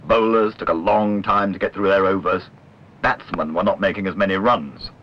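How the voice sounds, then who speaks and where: A man reading a literary documentary narration in a measured, wry way. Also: sits low. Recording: dull lo-fi old film soundtrack, clear noise.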